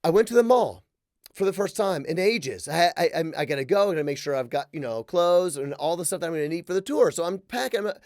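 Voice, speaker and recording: A man in his thirties hosts his own podcast, talking fast and animated with a sarcastic edge. His voice sits fairly high for a man. The sound is close and dry, with almost no room echo. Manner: animated, sarcastic, quick to joke. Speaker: podcast host. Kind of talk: casual solo podcast rant. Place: small room with wood-plank wall.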